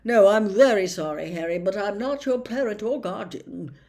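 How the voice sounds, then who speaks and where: A woman's voice: mid-range voice, normal speed, darkish tone, lightly acted. A woman voicing a jovial, blustering middle-aged male government minister in a storybook reading, effusive and given to hemming. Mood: flustered, firm. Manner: effusive, hesitant, hemming and throat-clearing. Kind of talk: audiobook character reading.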